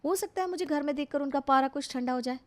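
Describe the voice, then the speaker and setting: A woman's voice: sits high, fast talker, lightly acted. A woman playing a dutiful, put-upon young city daughter-in-law in a cartoon family story, polite, earnest and a little plaintive. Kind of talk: Hindi animated family story acting.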